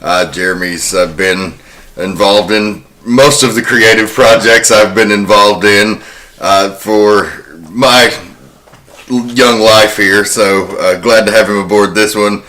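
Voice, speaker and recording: A man's voice: on the low side, steady tone, takes it slowly, pushed and bright, rough recording. A man hosting a horror podcast, introducing and narrating film history in a conversational way. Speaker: podcast host.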